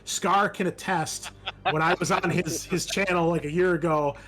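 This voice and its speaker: A man's voice: on the high side, fairly even intonation, normal speed, low-quality recording. A man chatting casually as game master and host after a tabletop game, in an informal, rambling, sometimes sweary way.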